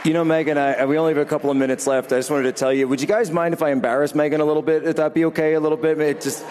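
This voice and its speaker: A man's voice: a little high, little pitch movement, racing, darkish tone, a faint crowd, slight background noise. Earnest rambling man in a reverberant hall. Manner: earnest, rambling, self-correcting. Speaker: conference interviewer. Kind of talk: onstage interview at a conference. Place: large conference hall stage with live audience.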